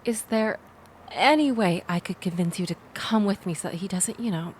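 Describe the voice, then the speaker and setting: A woman playing a shaken, chatty young woman in an intimate audio roleplay, rambling with wry asides and breathy sighs as she confides in the listener. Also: mid-range voice, moderate pace, a little mellow, slight background noise, natural voice. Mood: anxious, pleading. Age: young adult. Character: shaken, chatty young woman escaping a bad date, self-deprecating and grateful.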